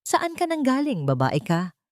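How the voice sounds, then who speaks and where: A haughty older matriarch, played by a woman narrator in an audio love drama. She scolds and questions imperiously, with a sharp edge. The sound is dry and close. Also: high-pitched, huge pitch swings, unhurried, dry recording, pitched above the performer's own voice, full character voice. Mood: haughty scolding.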